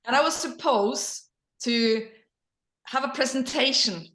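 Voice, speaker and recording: A middle-aged woman whose native language is Norwegian, speaking English with a Norwegian accent in casual personal storytelling, sometimes halting as she searches for words. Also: high-pitched, fairly bright tone. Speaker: interview guest, company leader. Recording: roomy echo.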